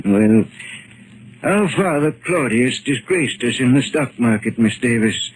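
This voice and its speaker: An actor playing a secretive, courtly old gentleman recluse in 1940s radio drama, formal, polite and measured. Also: mid-range voice, lively intonation, slight background noise, natural voice, low-quality recording. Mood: confiding, ashamed.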